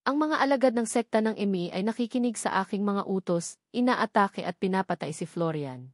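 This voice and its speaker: A cold martial-arts sect leader in an audiobook, commanding followers, read by a woman. The pitch is mid-range and the sound is very dry. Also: animated, fairly quick, darkish tone, no echo, lightly acted.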